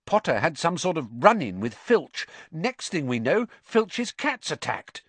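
A man's voice: fairly high pitch, sing-song, unhurried, fairly bright tone, slightly rough, dry recording, voice pushed up, full character voice. A man voicing a pompous, fearful schoolboy in an audiobook, dramatic and insistent. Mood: ominous gossip. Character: pompous, fearful schoolboy spreading dark suspicions. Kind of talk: audiobook character acting.

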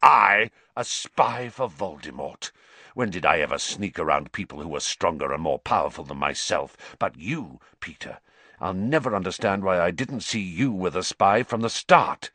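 A man playing a bitter, vengeful male wizard who growls his words low and with venom. The voice sits deep but moves with lively swings, and the sound is dry and close. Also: normal speed, no echo, exaggerated voice. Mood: venomous.

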